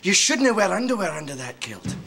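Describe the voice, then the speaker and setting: A man playing a cantankerous, proud Scottish shopkeeper in a comedy sketch, blustering and excitable. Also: sits high, fairly quick, creaky, exaggerated voice. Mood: smugly chatty. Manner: blustering, swinging into shouts.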